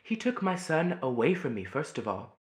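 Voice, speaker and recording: An actress playing a humble, wronged mother in a stage drama, sorrowful and husky. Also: very deep voice, very animated, normal speed, exaggerated voice. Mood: wounded, bitter. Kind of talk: theatrical stage drama acting.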